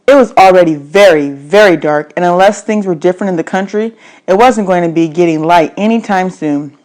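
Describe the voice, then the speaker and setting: A woman's voice: lowish voice, a little fast, darkish tone. A woman narrating a children's novel in the first person, telling it expressively with stressed phrases. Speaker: read-aloud narrator.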